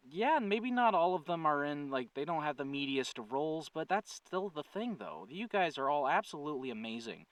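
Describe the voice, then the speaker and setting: Clear-voiced man giving a casual channel update. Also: mid-range voice, fairly bright tone, no echo, distant mic, low-quality recording. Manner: earnest, conversational, thinking aloud with self-corrections. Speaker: channel creator and host, writer-producer. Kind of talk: casual update talk to viewers.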